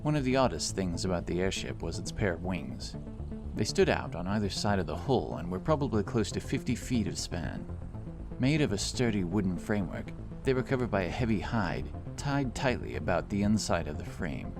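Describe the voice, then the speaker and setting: Male narrator, deep pitch, scripted fantasy storytelling, audiobook, prominent background music. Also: big pitch swings, moderate pace. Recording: clear music bed behind the voice.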